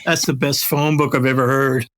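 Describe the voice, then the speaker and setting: A man's voice: mid-range voice, level tone, normal speed, a bit bright. A middle-aged or older man hosting a casual podcast, talking in a rambling, self-deprecating, conversational way. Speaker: podcast host and voice actor.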